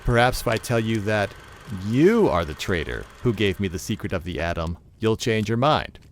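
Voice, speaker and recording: Pompous radio play villain boss, deep voice, swoops around a lot, slow menace. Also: male voice, big pitch swings, slight background noise. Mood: menacing. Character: smooth, scheming male criminal mastermind. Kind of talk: audio drama villain acting.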